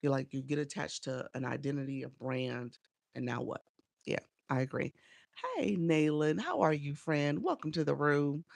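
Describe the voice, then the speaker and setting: A woman's voice: sits low, normal speed, vocal fry, darkish tone, no echo. A woman coaching on a casual livestream, chatty and encouraging as she greets viewers by name, sounding a bit congested and nasal from a cold. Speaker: live streaming coach.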